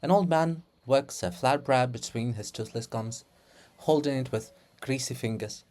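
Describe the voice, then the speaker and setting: A person giving a dramatic reading of a play in a theatrical, deliberate manner, phrase by phrase. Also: mid-range voice, a little mellow. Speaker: reader of a play.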